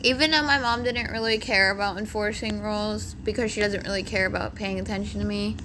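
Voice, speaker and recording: A woman with a raspy voice telling a rambling storytime, full of "like" fillers, over game footage. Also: mid-range voice, steady tone, a little fast, pushed and bright, a little hiss or hum. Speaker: vlogger.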